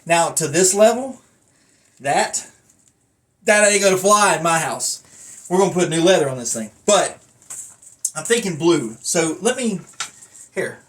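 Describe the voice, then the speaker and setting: A man's voice: on the high side, expressive, unhurried, pauses often, a bit bright. A man giving a casual, unscripted collector's review, thinking aloud and wandering off into asides. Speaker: collector reviewing a wrestling belt.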